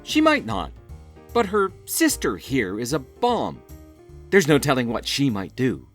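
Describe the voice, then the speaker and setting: A woman's voice: a bass voice, wildly swooping pitch, a little slow, faint music behind, full character voice. A woman voicing a determined male space hero who speaks urgently and insistently.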